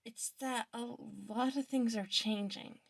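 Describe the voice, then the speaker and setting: A woman voicing a withdrawn, nervous teenage girl student in an audio drama, quiet and hesitant. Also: fairly high pitch, fairly even intonation, measured pace, dry recording. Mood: anxious, downcast. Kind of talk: audio drama fan fiction reading.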